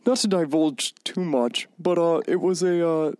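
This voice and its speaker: A man playing a polite, patient professor being interviewed on a mock radio show, stammering and deadpan. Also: on the high side, measured pace, dry recording, studio quality, natural voice. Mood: hesitant, patient. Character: polite, patient, awkward male professor guest. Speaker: guest professor and author.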